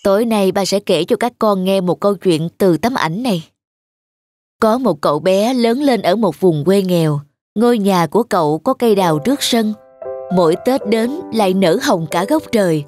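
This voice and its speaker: A man's voice: high-pitched, expressive, moderate pace, a little mellow, no room sound, faint music behind. A man playing an affectionate father and devoted son in a cartoon, talking in an earnest, reflective way. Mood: tender storytelling. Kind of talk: animated cartoon dialogue and storytelling.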